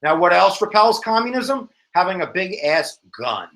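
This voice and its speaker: A man with an American accent teaching a casual, joking exam review lesson in a slangy, animated way. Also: sits high, normal speed. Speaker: history teacher.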